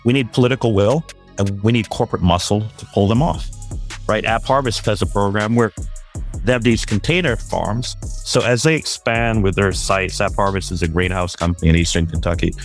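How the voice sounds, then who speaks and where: A man's voice: on the low side, fairly quick, faint music behind. A man born in Barbados and raised in Brooklyn, talking earnestly and upbeat as an interview guest. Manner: upbeat, earnest, repeats words as he starts. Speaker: guest, sustainability advisor. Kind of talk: casual interview talk. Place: Williamsburg, Brooklyn, remote call.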